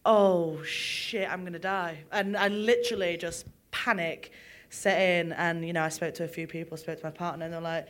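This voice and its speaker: A woman, a musician, talking openly in an interview, moving between soft, quiet moments and loud, animated ones. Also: lowish voice, normal speed. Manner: open, expressive, swings from soft to loud. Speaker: musician guest. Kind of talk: candid interview conversation.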